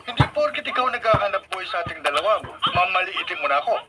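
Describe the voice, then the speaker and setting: Whiny, blustering Filipino husband. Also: male voice, high-pitched, expressive, fast talker. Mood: indignant, threatening. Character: lazy, proud, hot-tempered jobless husband. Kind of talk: radio drama acting. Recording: very noisy radio drama broadcast.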